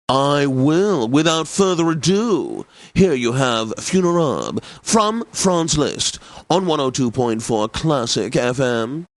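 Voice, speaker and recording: An actor playing an effete, snobbish classical radio DJ in a video game, with flowery, theatrically drawn-out enunciation and a pompous manner. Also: male voice, mid-range voice, very animated, no echo. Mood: grandly obliging.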